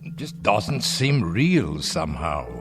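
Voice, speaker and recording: A man's voice: deep voice, very animated, slow pace, full character voice. An actor in a radio drama playing a weary, earnest old husband, hesitant and emotional in his speech. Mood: wistful. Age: older. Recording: long hall reverb, music underneath.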